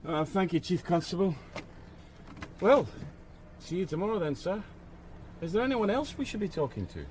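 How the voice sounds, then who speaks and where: An actor playing a meek, dutiful young police sergeant in a radio drama, deferential and tentative. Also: male voice, high-pitched, big pitch swings, moderate pace, a little mellow, a little hiss or hum. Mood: dutiful, polite. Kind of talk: BBC radio drama acting.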